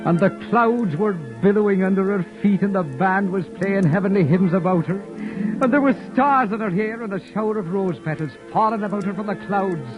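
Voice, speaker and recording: A man's voice: high voice, lightly acted. An actor playing Michael, a witty, lovestruck young man who also narrates, in 1940s-style radio drama acting with a lilt. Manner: wry, theatrical, quick comebacks. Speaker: narrator and lead character. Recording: muffled old radio recording with music.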